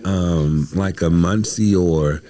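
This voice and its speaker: A man's voice: sits low, slow, warm tone. An American man talking casually as a podcast guest, slangy and emphatic, telling stories and quoting conversations. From United States, Midwest. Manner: slangy, emphatic, acts out reported dialogue. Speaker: podcast guest, stage playwright. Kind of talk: animated casual interview storytelling.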